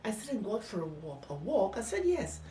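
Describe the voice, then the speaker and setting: A woman's voice: medium pitch, sing-song, fairly quick, warm tone. An older woman telling personal memories in an interview, emphatically, often acting out what was said. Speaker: interviewee, former nurse. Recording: echoey room, distant mic, faint noise.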